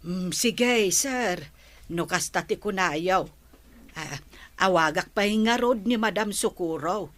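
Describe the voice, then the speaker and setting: A woman's voice: fairly low pitch, takes it slowly. A woman playing a respectful, devoted elderly housemaid and grandmother in a radio drama, speaking deferentially with an Ilocano accent.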